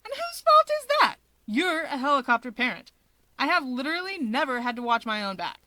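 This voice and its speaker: A brash young man in a story reading, acted by a woman. He is high and bright, shamelessly teasing and emphatic. The sound is close and dry. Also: big pitch swings, brisk, dry recording, heavily acted. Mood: defiant, shameless. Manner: cocky, emphatic, provoking.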